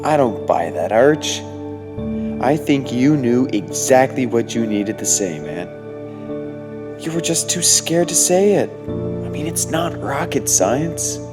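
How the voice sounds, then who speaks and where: A man's voice: mid-range voice, sing-song, slow. A man playing a candid, wry young man, a loyal friend, in an audio drama. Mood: frank, wry. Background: music underneath.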